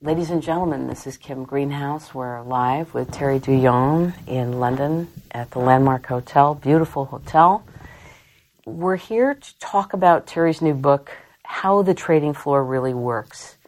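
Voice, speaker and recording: A woman in her thirties hosting an interview show from a hotel, effusive and chatty and quick to laugh. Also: a bass voice, darkish tone. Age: 30s. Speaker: talk show host. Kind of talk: enthusiastic radio-style interview hosting. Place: hotel in London.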